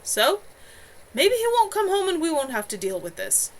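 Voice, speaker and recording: A woman's voice: very high-pitched, wildly swooping pitch, moderate pace, voice pushed up, full character voice. A woman voicing a cold, bitter, upset man in a fantasy novel reading. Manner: curt, cold, pointed. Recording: faintly noisy home livestream recording.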